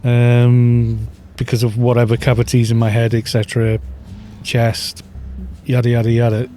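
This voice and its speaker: A mellow, soft-spoken man, chatting loosely through a good studio microphone at home while he plays. His talk rambles along with small chuckles, and a faint ambient hum sits behind him. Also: mid-range voice, monotone, moderate pace. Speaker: livestream gamer. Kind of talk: casual chat while gaming, reading chat.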